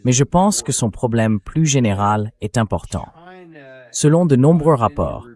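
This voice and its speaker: A man acts as the host of a political interview. He speaks in measured, articulate phrases at a medium pitch, in the synthetic voice of a dubbed translation. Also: darkish tone.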